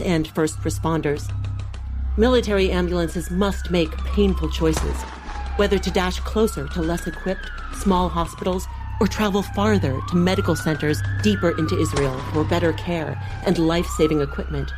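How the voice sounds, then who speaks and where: A woman narrator with a lowish voice reads a dramatic audiobook script. Music plays clearly underneath her narration. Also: normal speed.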